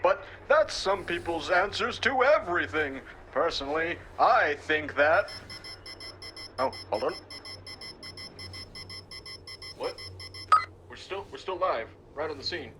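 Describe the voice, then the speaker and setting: A man's voice: on the high side, animated, measured pace, sharp tone, slight background noise. A man playing a sensationalist street news reporter in a cyberpunk audio drama, theatrical, punchy and sardonic. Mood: flustered, deferring. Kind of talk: dramatic live news broadcast acting.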